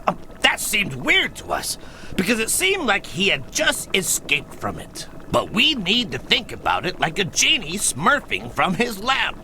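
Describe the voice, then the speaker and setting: An actor voicing a kindly, calm elderly Smurf village leader in a video game, speaking with reassuring authority. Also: male voice, high voice, unhurried, a little hiss or hum, heavily acted, rough recording. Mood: firm, explanatory. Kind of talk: video game cutscene acting.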